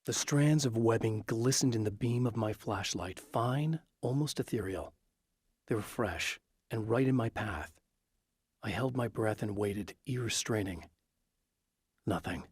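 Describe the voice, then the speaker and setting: Resolute, brooding game hero: low, husky, guarded, measured delivery, dry studio sound. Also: male voice, a little mellow, dry recording. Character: determined, brooding male hero of a supernatural thriller game. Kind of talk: dramatic game acting, introspective monologue.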